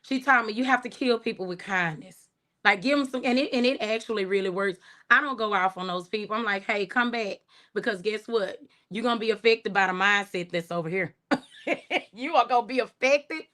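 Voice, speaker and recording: A woman hosting her first livestream, chatting casually and warmly, thanking viewers by name and laughing often. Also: mid-range voice, fairly quick. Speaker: livestream host. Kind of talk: casual livestream chat reading comments.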